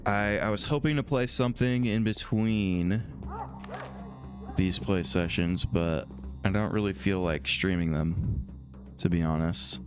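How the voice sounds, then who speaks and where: A man streaming a video game, thinking out loud in casual, rambling talk, his voice a little hoarse from a throat infection. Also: low voice, measured pace, music in the background. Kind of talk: casual let's-play commentary, reading dialogue aloud.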